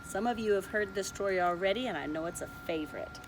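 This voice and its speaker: A preschool teacher reading a picture book aloud to young children, slowly and expressively, pointing out the pictures. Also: female voice, medium pitch, noisy background. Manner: slow, expressive read-aloud, showing pictures.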